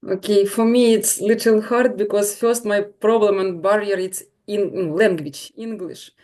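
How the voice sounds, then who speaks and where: A Ukrainian-accented woman you might meet in a preschool staff meeting, cheerfully piecing together her English in a medium-pitched voice. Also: steady tone, a little mellow. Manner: halting, cheerful, in simple broken English. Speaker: children's house teacher. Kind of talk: informal self-introduction.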